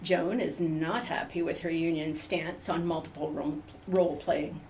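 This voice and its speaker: A woman's voice: low voice, fairly even intonation, measured pace, a little mellow, a little hiss or hum. A woman playing a polite, sympathetic interviewer in a scripted comedy mock news report. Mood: polite, professional.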